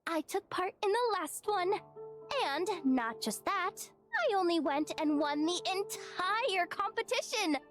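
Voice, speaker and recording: A small, proud young champion from a video game. The voice is very high and animated, self-important with a worried streak. Music is clearly audible underneath. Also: female voice, measured pace, full character voice. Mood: proud, boastful.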